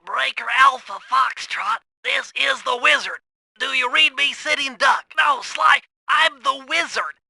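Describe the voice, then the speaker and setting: A man's voice: very high voice, pushed and bright, heavily acted. A man voicing a nerdy, anxious, fussy turtle gadget expert in a cartoon video game, explaining things precisely. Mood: eager, precise, then flustered.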